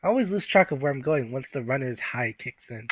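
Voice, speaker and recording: A man's voice: medium pitch, lively intonation, brisk, noisy background, natural voice. Man reading as earnest teenage schoolgirl heroine. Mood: musing. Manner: wry inner monologue. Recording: music behind the voice.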